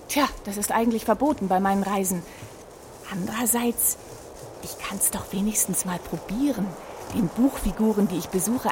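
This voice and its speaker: A woman playing an adventurous, chatty hot-air balloon reporter in a children's radio play, speaking with lively expression. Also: on the high side, a little hiss or hum, natural voice. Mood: musing, plotting. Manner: lively, expressive storytelling to young listeners.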